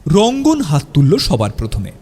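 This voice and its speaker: A male narrator reads a scripted audio story. His voice is mellow and a little husky, with lively, dramatic intonation. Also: fairly high pitch, normal speed.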